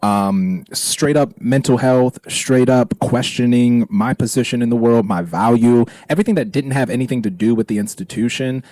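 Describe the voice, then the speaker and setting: A man talking reflectively and casually as an interview guest, expressive and emphatic, circling around his phrases. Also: mid-range voice, moderate pace, hardly any pauses, dry recording, rough recording. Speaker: interview guest, performing artist.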